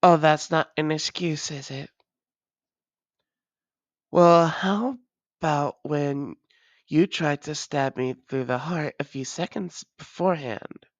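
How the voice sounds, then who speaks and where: Woman acting a low-voiced, unhurried, doting female vampire. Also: no echo. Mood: exasperated. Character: centuries-weary female vampire, doting, wry, long-lost lover. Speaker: audio roleplay voice actor. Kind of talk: intimate audio roleplay acting.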